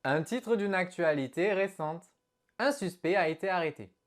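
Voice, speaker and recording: A woman teaching an online English lesson in an animated, expressive way as she explains to viewers. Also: on the low side, moderate pace.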